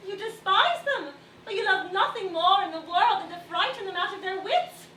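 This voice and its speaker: An actress playing a spirited younger wife on stage, polite and arch, with a teasing sharp tongue. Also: female voice, very high-pitched, expressive, moderate pace, heavily acted. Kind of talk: theatrical stage acting. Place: theatre stage. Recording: echoey distant stage, loud audience noise.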